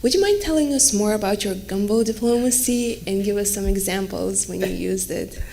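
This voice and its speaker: A woman's voice: medium pitch, normal speed, vocal fry, dark tone. A young woman in her twenties, born in Bulgaria, a university student asking a formal prepared question. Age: 20s. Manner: polite and formal.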